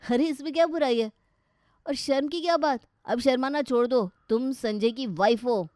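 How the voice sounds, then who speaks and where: A woman's voice: high voice, moderate pace, a little mellow, no echo, clean studio sound, lightly acted. A woman voicing a carefree young Indian husband in a story, chatty, casual and complaining. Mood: coaxing, irritated.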